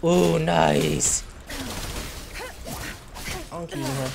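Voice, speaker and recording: A young woman casually commenting as she plays a game at home, reacting loudly to the screen. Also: low-pitched, very slow, creaky, background noise. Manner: excitable, talks back to the screen. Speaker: gameplay streamer. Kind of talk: casual let's-play commentary.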